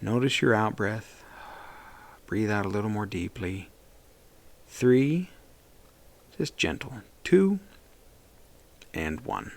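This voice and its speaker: A man leading a guided meditation as a therapist, speaking in a gentle, soothing and reassuring way. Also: lowish voice, animated, slow pace, creaky, pauses often.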